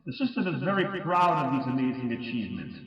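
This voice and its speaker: A man's voice: mid-range voice, sing-song, quick pace, lightly acted. An actor playing a recorded male public address announcer who welcomes visitors. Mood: welcoming. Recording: distant dull echoey PA sound.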